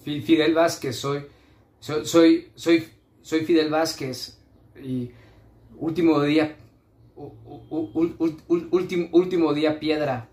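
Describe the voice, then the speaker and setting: Stammering, pleading addict high on drugs; slow, fragmented stage-monologue delivery, higher-pitched voice. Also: male voice, steady tone, a little mellow. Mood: dazed, insistent. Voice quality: stammering, halting speech. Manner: fragmented, obsessively repeating himself. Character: drug addict man, confused, pleading, paranoid, high on drugs. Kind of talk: theatrical dramatic monologue. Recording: echoey room.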